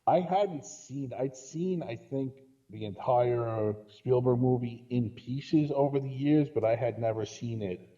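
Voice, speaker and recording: A man's voice: medium pitch, a little slow, few pauses, dark tone. A man talking casually about films as a podcast guest, conversational and opinionated. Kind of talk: casual film discussion. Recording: dull lo-fi voice recording.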